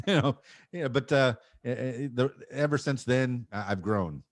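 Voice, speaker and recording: A man in his fifties from Miami talks as a podcast guest. He is thoughtful, with a wry, self-mocking humor. His voice has a medium pitch and sounds dry, with no room echo. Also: a little slow. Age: 50s. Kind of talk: casual interview chat.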